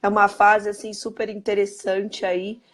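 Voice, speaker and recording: Hoarse Brazilian woman hosting a live stream. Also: medium pitch, little pitch movement, normal speed, slight background noise. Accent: Brazilian Portuguese. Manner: upbeat, greets viewers by name, repeats catchphrases. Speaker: host.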